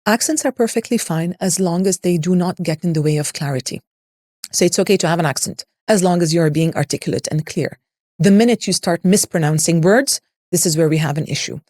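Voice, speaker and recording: A woman with a clear, neutral international English accent talks like a coach giving advice. Her voice is mellow and on the lower side, close and dry. She explains things in measured, articulate phrases with firm emphasis. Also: no room sound. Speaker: voice and public speaking coach, interview guest.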